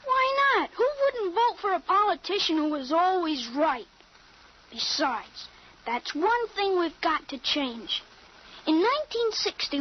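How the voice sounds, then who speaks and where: An actress playing an earnest, assured thirteen-year-old boy with a grown soldier's mind in an old-time radio drama. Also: high-pitched. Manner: assured, insistent, explanatory. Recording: muffled, noisy old radio recording.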